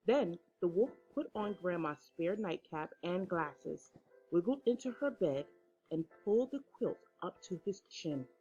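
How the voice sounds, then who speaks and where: Female children's storyteller: lowish, expressive, measured narration; soft music, slightly dull audio.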